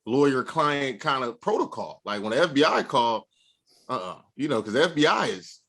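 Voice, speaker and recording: Man, animated co-host with a bouncy, expressive voice and lots of slang. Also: mid-range voice, moderate pace, husky. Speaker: podcast co-host. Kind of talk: casual chat.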